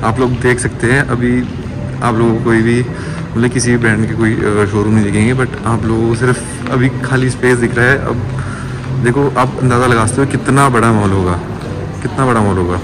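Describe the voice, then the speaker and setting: A man's voice: medium pitch, fairly even intonation, normal speed. A man narrating a casual travel vlog, talking straight to viewers and dropping in English phrases. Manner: addresses viewers directly, mixes in English phrases. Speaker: vlogger. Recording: loud ambient noise, cars and outdoor bustle.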